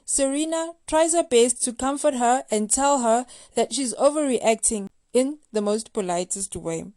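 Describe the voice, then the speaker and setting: A woman's voice: on the high side, a little slow, mellow, no echo, studio quality. A woman hosting a recap show, reading scripted commentary in a playful, gossipy, slangy way. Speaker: host of a reality TV recap show.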